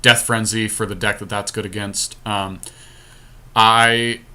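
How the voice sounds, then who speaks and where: Bright-voiced man casually talking through a game. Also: lowish voice, level tone, unhurried, clean tone. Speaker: podcast co-host and card game player.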